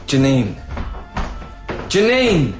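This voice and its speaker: A man's voice: a little high, very animated, very slow, muffled recording, over music, exaggerated voice. An actor playing an earnest young expectant father, a reformed party-going DJ, in a naturalistic radio drama. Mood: agitated, pleading. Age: young adult.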